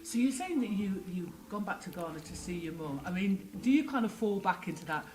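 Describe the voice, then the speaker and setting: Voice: mid-range voice, lively intonation, brisk, a little mellow, slight background noise. An interviewer asking a boxer about his career and family in a chatty, friendly way, often laughing along. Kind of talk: casual interview questions.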